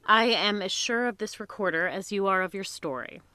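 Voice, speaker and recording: An actress playing an earnest, inquisitive niece in a period radio drama, with precise, formal phrasing. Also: female voice, mid-range voice, moderate pace, pushed and bright, clear, resonant tone, natural voice. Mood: challenging. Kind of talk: live radio drama acting.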